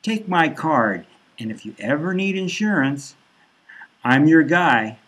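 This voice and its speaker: A boastful male arms dealer hard-selling his gear, put on by a man reading his own novel. The voice sits higher than the reader's own, with a husky edge. He takes his time over each item he pitches. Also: lively intonation, slow, fairly bright tone, heavily acted. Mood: pushy. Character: pushy middle-aged male arms dealer, salesy and boastful.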